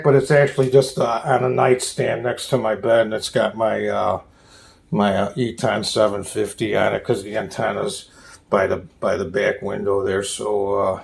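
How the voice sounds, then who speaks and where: A man hosting a casual off-the-cuff hobby tour at home, talking in a halting, plain-spoken, rambling way. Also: lowish voice, vocal fry. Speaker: hobbyist host giving a radio gear tour. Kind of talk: casual off-the-cuff show-and-tell vlog. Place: small room at home.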